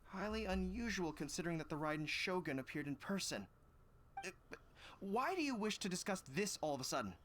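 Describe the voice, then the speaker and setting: An actor playing a stern, dutiful army general in a video game, speaking in a measured, formal, authoritative way. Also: male voice, high voice, very animated, a bit bright, slight background noise. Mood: guarded.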